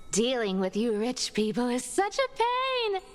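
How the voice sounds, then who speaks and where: A woman's voice: a little high, wildly swooping pitch, slow, soft background music, full character voice. A woman playing a sly, brash young female thief in a video game, teasing and cocky. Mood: impatient. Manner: teasing, cocky, laughing often. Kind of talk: video game story voice acting.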